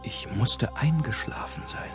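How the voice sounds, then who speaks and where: A man narrating a horror audiobook in the first person, measured and suspenseful. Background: prominent music.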